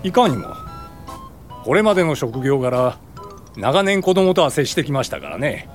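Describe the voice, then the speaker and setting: Man acting pompous, wildly animated preachy ex-principal. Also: medium pitch, moderate pace, faint music behind, natural voice. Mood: self-satisfied. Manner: lecturing, self-important, indignant. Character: pompous male former school principal, preachy child welfare officer. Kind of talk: radio drama acting.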